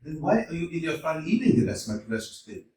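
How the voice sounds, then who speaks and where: A man's voice: a little high, sing-song, fast talker, darkish tone, slight background noise. A man playing an effusive, jovial older landowner in a staged play reading, laughing often and heaping on pet names, recorded in a roomy hall from a distance. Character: jovial gushing older landowner, father of the bride.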